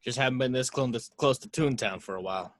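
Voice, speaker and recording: A man's voice: medium pitch, fast, lightly acted. A man playing a jaded, sardonic private detective in an amateur screenplay table read. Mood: dry, sardonic.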